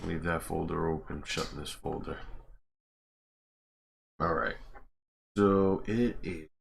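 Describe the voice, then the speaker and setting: A man with a very deep voice talks casually to viewers of his game livestream. He takes his time, speaking slowly and informally as he thinks aloud at the computer. A faint layer of noise sits behind his voice. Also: steady tone, creaky. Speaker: game streamer and modder. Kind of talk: casual livestream chat while working at a computer.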